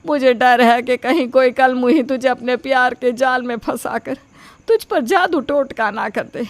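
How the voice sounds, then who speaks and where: A woman playing a scheming, manipulative older mother in an animated moral story, theatrical and scolding. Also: high voice, measured pace, dry recording. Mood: guilt-tripping. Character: scheming, melodramatic ageing mother nagging her son to marry.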